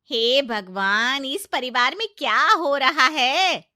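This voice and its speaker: A woman voicing a bossy middle-aged aunt-in-law in a family story, sharp, taunting and indignant. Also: sits high, slow pace, dry recording, studio quality, pitched above the performer's own voice, full character voice. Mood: indignant, angry. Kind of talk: exaggerated family-drama storytelling.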